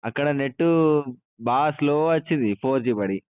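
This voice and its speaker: A man's voice: medium pitch, moderate pace, no echo. A man with a Telangana Telugu accent calling a helpline as a customer, making a casual, plaintive and insistent complaint. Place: on a phone line. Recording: tinny, narrow phone call recording.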